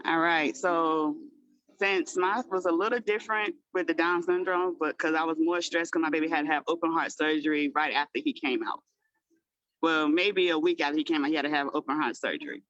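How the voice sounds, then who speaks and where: A woman's voice: low-pitched, brisk, a bit bright, no room sound, dull audio. A woman in her thirties, a guest mother speaking in a candid, rambling, heartfelt way. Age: 30s. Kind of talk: personal storytelling.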